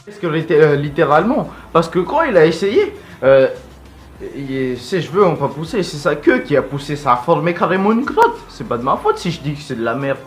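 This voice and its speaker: A man's voice: fairly high pitch, sing-song, brisk, soft background music. A male host giving casual, rambling and blunt fan commentary in an informal vlog. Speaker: video host.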